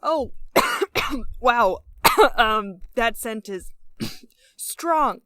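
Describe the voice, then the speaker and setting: An actress playing a young college senior at a job interview in a radio play, emphatic and colloquial. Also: female voice, fairly high pitch, animated, extremely slow, pushed and bright, no room sound, clean studio sound, full character voice. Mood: nervous, flustered. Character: female college senior job applicant, turning brash bro.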